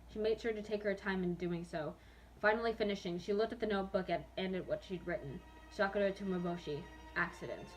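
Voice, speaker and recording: A woman's voice: medium pitch, fairly bright tone, low-quality recording. A young adult woman hosting a dramatic reading, self-mocking and breaking into amused asides and laughter. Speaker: host reading own old fanfiction.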